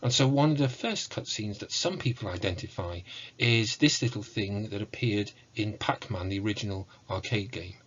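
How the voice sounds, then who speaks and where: Low, husky male lecturer. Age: middle-aged. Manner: measured, formal, explanatory. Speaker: university lecturer in music. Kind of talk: prepared online lecture. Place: small indoor room with bookshelves. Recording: muffled, dull online recording.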